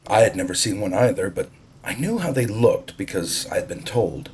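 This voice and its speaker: A man's voice: lowish voice, sing-song, moderate pace, darkish tone, slight background noise. A man reading a story aloud as an audiobook-style narrator. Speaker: audiobook reader.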